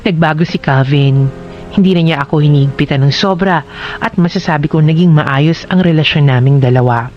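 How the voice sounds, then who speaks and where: A man hosting a radio drama, reading a letter as heartfelt scripted narration in a measured, sincere way. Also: fairly high pitch, lo-fi sound, soft background music.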